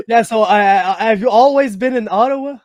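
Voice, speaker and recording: A man's voice: high voice, normal speed, a little mellow, no echo, lo-fi sound. A man from Quebec with a Quebec French accent, hosting a casual interview, chatty and friendly. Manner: friendly, chatty, says "my man", rambling questions. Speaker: interviewer, host.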